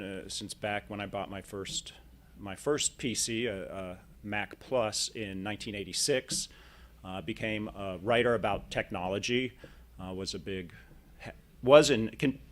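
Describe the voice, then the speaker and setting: A middle-aged man with a lowish voice tells a personal story as a writer giving a talk. He speaks haltingly, often repeating a word before going on. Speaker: technology writer.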